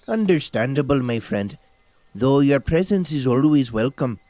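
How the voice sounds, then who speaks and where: A man's voice: mid-range voice, expressive, moderate pace, dark tone, no room sound, natural voice. A man playing a formal, courteous but condescending gatekeeper in a fantasy audio drama, with mannered speech. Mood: deferential.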